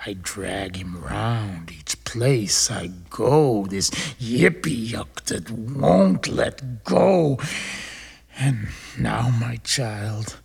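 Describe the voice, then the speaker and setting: A performer voicing a weary, limping parent from a storybook poem in a slow, hushed, ominous drawl. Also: mid-range voice, expressive, creaky, slightly rough, pitched below the performer's own voice, exaggerated voice.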